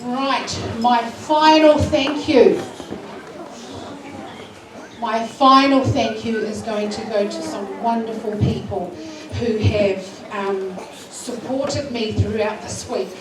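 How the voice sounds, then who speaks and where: Nz woman talking to a school assembly, bit high, far off in an echoey hall, lots of ums. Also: steady tone, takes it slowly. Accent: New Zealand. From New Zealand. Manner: warm, emotional thanks with fillers. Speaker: school culture week organiser. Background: hall ambience and noise.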